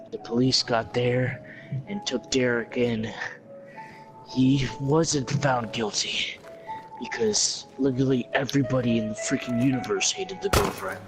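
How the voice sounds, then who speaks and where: Slow, dramatic man storytelling over loud music. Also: mid-range voice. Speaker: storyteller and organiser in an improv story game with friends. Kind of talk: improvised comic storytelling among friends.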